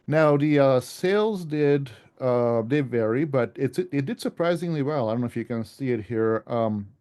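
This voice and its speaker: A man's voice: medium pitch, lively intonation, moderate pace, a little mellow, clear, resonant tone. A man giving an informal slide presentation as an author, speaking in a conversational, self-deprecating way.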